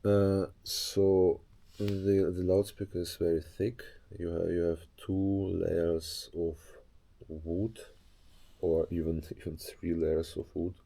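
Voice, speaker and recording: A man's voice: deep voice, flat delivery, slow, vocal fry, mellow. A man giving a casual, unscripted show-and-tell as a hobbyist presenter, speaking hesitantly and often searching for words.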